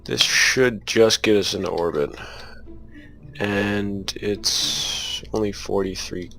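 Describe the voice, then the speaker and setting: A man's voice: on the low side, fairly even intonation, slow pace, creaky, sharp tone. A man with a cold, sounding sniffly, casually thinking aloud as he talks through a game he is playing. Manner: thinking aloud while building and flying. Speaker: gameplay commentator. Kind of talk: casual gameplay commentary.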